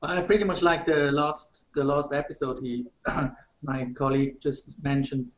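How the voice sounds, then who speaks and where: A man's voice: mid-range voice, steady tone. Man, muffled roomy call. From Switzerland. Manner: measured, halting with frequent uh fillers and restarts. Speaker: rail industry panelist. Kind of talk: panel discussion over video call. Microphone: video call.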